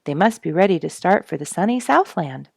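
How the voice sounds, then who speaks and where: A woman voicing an excited country child in a children's storybook, eagerly calling out. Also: on the low side, fairly quick, vocal fry, no echo, studio quality. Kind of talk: children's storybook character reading.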